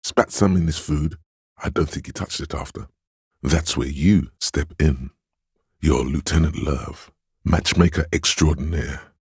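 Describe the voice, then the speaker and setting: A man voicing the inner voice of empathy in a detective's mind, narrating a game in a measured, intimate, knowing way with a gravelly, husky voice. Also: very deep voice, a little mellow, dry recording, studio quality, heavily acted. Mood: wry, observant, then sly, urging. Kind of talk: scripted second-person game narration.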